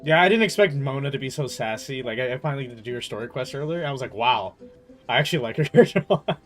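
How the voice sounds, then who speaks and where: A man's voice: medium pitch, expressive, very fast. A young man livestreaming a game from his room, chatting casually with slangy, playful reactions. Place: in a room at home. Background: faint game music.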